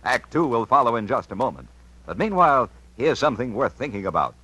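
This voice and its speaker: A man's voice: medium pitch, very animated, fairly quick, bright, forceful tone, husky, no room sound, low-quality recording. An American man announcing a scripted 1950s radio program in a formal, emphatic manner. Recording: vintage radio recording with music.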